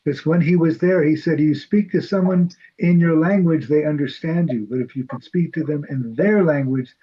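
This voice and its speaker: A man's voice: on the high side, normal speed, slightly rough, muffled recording. A man from New York with a New York accent, chatting in a Bible study group in a chatty, self-deprecating way. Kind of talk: casual group discussion.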